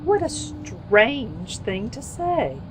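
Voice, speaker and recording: A woman's voice: a little high, sing-song, drawn-out words, background noise, full character voice. An actress playing a dreamy young romantic woman in old-time radio drama style. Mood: coy, dreamy. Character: dreamy romantic young woman, secretly a company sales sample.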